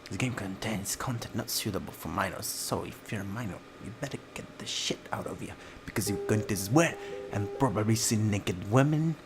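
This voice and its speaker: A man with a fairly low voice hosts a visual novel playthrough. He reads the text aloud as a dramatic storyteller, at times breathy and hushed. Game music plays loudly behind him. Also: moderate pace, few pauses, rough recording. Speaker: let's play host.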